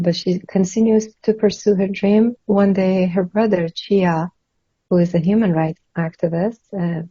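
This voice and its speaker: A woman's voice: lowish voice, mellow, no room sound, highs cut off. Earnest woman author, from Iran. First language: Kurdish. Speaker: author guest. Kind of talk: interview answers.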